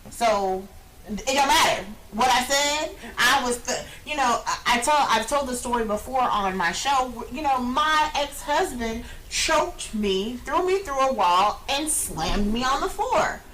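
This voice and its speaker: Earnest woman talk show host, mid-life, bright-ish higher voice, studio mic. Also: lively intonation, unhurried, slight background noise, poor audio. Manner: earnest, emphatic. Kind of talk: casual talk show chat. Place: small studio lounge, indoors.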